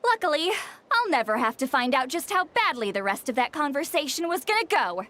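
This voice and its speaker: Huffy, high-pitched bookish game heroine. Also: female voice, big pitch swings, a little fast, pushed and bright, a little hiss or hum, exaggerated voice. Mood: haughty. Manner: huffy, dramatic, opinionated. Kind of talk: video game character acting. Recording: background game music.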